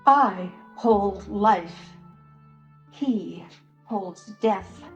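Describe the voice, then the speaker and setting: Slow, sorrowful grandmother played by an actress, echoing room. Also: mid-range voice, muffled recording. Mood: troubled, grieving. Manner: slow, solemn, with long pauses between phrases. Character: sorrowful grandmother in occupied ancient land, a troubled bystander. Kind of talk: dramatic monologue in a church Passion play. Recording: roomy echoing church sound with music.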